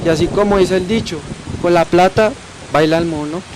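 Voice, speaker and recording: A man's voice: high voice, normal speed, darkish tone, lightly acted. A man playing a plain-spoken Colombian grandfather, salty and colloquial, in an amateur radio drama. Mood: grumbling. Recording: noisy amateur recording.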